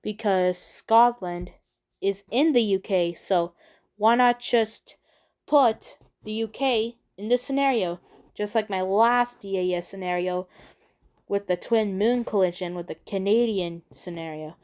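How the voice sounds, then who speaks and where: A woman talking casually and unscripted as a video creator, in a halting, rambling way with repeated phrases. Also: mid-range voice, darkish tone, smooth. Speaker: video creator introducing own work. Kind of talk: casual unscripted vlog talk.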